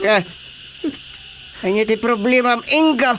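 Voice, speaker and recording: A man acting an excitable village chairman in a radio drama comedy, exclaiming as he deals with residents. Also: extremely high pitch, measured pace, slight background noise. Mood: amused. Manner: exclamatory, animated.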